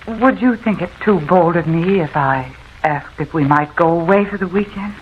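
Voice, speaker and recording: An actress playing a nervous, haunted wife, telling of her ghostly terror in a halting, confessional way, in old-time radio horror style. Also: fairly low pitch, close to an everyday voice. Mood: meek, troubled. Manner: dramatic, halting, confessional first-person narration. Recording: muffled, noisy old radio recording.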